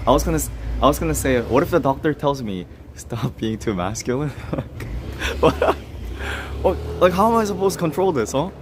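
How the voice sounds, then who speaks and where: A man's voice: fairly high pitch, animated, moderate pace, background noise. Laughing, self-mocking young man on stream. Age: 20s. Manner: laughs often, self-mocking, talks straight to chat. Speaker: livestreamer. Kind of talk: casual livestream chat reading comments.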